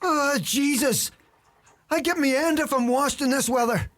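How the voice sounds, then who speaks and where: An actor playing a scrawny, idle old uncle who grumbles and boasts, with a Donegal Irish accent, in a radio play. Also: male voice, very high-pitched, a little slow, fairly bright tone. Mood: complaining. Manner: grumbling, droll.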